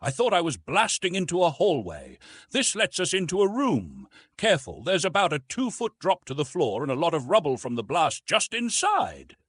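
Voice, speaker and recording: A man's voice: fairly high pitch, wildly swooping pitch, fairly bright tone, breathy edge, dry recording, studio quality, voice pushed up, exaggerated voice. A man playing a petulant, impatient explorer. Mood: irritable. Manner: brisk, irritable. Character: petulant male archaeologist, impatient.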